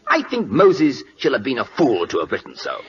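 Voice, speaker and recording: A man's voice: mid-range voice, wildly swooping pitch, normal speed, husky, heavily acted. An actor playing an imperious, inquisitive King of Siam in a radio drama, with a put-on Siamese accent and pompous, clipped broken English. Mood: demanding, inquisitive. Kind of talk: 1940s American radio acting. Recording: muffled old-time radio recording.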